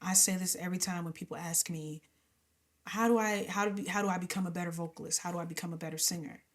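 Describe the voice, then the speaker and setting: Young woman singer chatting in an interview, lively, laughs a lot, sometimes whispers to make a point. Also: lowish voice, quick pace. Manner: animated, laughs often, drops into whispers to mimic singers. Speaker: gospel and R&B singer, interview guest. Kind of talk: casual interview talk.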